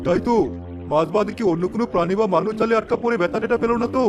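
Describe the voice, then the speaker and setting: Casting: male actor for rural fisherman sidekick in animated folklore, high-pitched, speedy lines. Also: rapid-fire, music in the background, natural voice. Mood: puzzled, worried. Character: village fisherman, easygoing, puzzled companion. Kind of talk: animated folk tale character acting.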